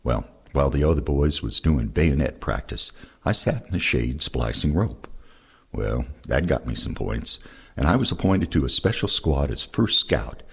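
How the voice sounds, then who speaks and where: A man's voice: rumbling low, lively intonation, normal speed, gravelly, fairly bright tone, husky. A man reading first-person war memoirs aloud in a plain-spoken, folksy storytelling way. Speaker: reader of veterans' oral histories.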